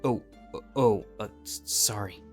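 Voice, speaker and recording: A man acts out an awkward dragon slayer in a fan audio story. He is low-pitched, flustered and stammering, with music clearly playing behind him. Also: a little slow, close to an everyday voice. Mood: flustered apology. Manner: stammering, hesitant.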